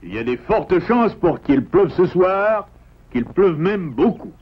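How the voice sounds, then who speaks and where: A man's voice: sits high, sing-song, unhurried, husky, full character voice. A man voicing a formal, solemn, scholarly father beaver in a cartoon dub. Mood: grave. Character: grave, preoccupied scholarly father beaver, adult male. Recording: dull lo-fi soundtrack with music behind.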